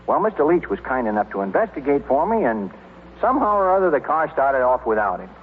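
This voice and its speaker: A man's voice: mid-range voice, very animated, fast, natural voice. An actor playing an unassuming, matter-of-fact young man in a 1930s radio comedy. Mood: innocently explaining. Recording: tinny, noisy 1930s radio recording.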